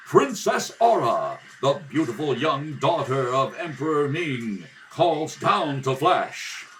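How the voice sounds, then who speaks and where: A man's voice: on the high side, fairly bright tone, a little hiss or hum. A man narrating a scripted radio serial in a dramatic style, recorded at home. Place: home kitchen table. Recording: distant home rehearsal recording.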